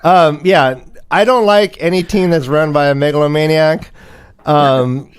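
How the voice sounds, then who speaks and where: A man's voice: fairly high pitch, measured pace, smooth. A man hosting a casual sports talk show, with playful, theatrical emphasis in his delivery. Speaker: host.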